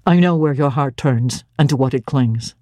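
A woman voicing a stern, cold male clergyman who speaks in a low, measured and severe way. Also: mellow, no echo. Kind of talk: dramatic reading of a classic novel.

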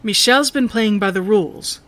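A strategic moonbase plotter played by a woman in a sci-fi audio drama. The voice sits at a medium pitch, earnest and measured, with a purposeful air. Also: a bit bright, close to an everyday voice. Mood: earnest, resolved.

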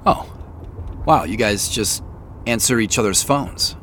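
A man's voice: on the low side, a little slow, fairly bright tone. An actor playing a puzzled, guarded ordinary American man in an audio drama, talking casually and with hesitation. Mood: casual, curious. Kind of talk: naturalistic audio drama dialogue. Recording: faint noise behind the voice.

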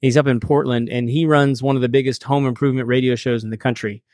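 A man's voice: mid-range voice, fairly even intonation, fast, darkish tone, dry recording. A man hosting a radio show with a casual, chatty manner, full of fillers and easy laughs, speaking close to a studio mic. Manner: laid-back, chatty, lots of fillers and laughs. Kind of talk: casual on-air chat. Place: studio with noise around. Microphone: close mic with headphones.

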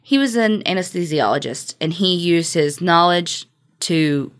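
An American woman with a fairly low voice talks as a podcast co-host. She tells her story conversationally, in loose, informal language with joking asides. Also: lively intonation, unhurried. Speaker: true-crime podcast co-host.

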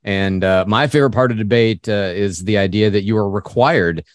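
A man's voice: fairly low pitch, normal speed, no echo, studio quality. A man hosting an interview, affirming his guests and laughing easily as he moves the talk along. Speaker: host.

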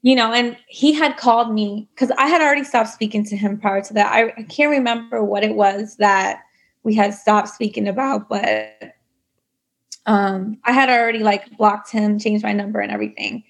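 A woman's voice: mid-range voice, normal speed, creaky. A woman telling her own story in a casual interview, chatty, full of likes and you knows. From United States. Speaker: guest.

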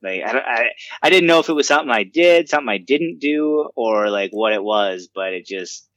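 A man's voice: mid-range voice, animated, moderate pace, a bit bright, no room sound. A man co-hosting a comedy podcast, chatting casually and self-deprecatingly with many fillers. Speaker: podcast co-host. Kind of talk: casual chat.

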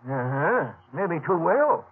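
A man's voice: fairly high pitch, big pitch swings, slow, husky, full character voice. An actor playing a folksy, wary stagecoach station man in a 1950s radio western, plain-spoken and rustic. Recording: tinny, noisy 1950s radio recording.

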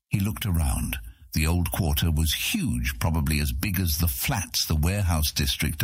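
A man's voice: sits low, slightly rough, no echo, clean studio sound. A man reading scripted fantasy narration as an audiobook narrator.

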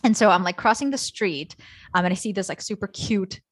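A woman co-hosting a casual talk, telling personal stories in an animated, self-deprecating way and peppering her speech with "like". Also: medium pitch, brisk, husky, no echo. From Guatemala. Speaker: co-host. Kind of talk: casual personal storytelling in banter.

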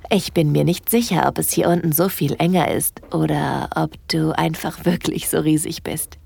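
A woman playing a shy yet bold young cruise guest in an intimate audio drama, speaking softly and hesitantly. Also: deep voice, steady tone, moderate pace, gravelly, husky, exaggerated voice. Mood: intimate musing.

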